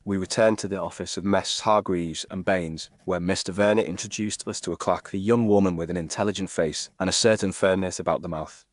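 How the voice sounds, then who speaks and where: A man reading a Victorian detective story in a measured, formal, literary manner. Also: low voice, steady tone, no room sound, studio quality. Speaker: narrator.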